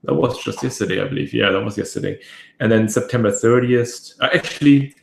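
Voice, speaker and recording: A man with a raspy voice hosting a casual webinar, rambling with many fillers and restarts. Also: mid-range voice, brisk, slight background noise. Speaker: webinar host. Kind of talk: casual live webinar talk. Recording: echoey room.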